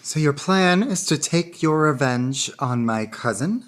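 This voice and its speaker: A woman playing a lovestruck, crafty young gentleman in a florid, theatrical radio drama. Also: low-pitched, lively intonation, a little slow. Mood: scheming. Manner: florid, rhapsodic delivery.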